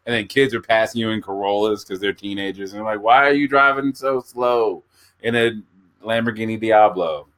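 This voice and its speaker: A man's voice: lowish voice, normal speed. A man in his thirties co-hosting a podcast, joking and acting out little scenes in casual chat. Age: 30s. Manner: joking, animated, acts out bits. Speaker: podcast co-host.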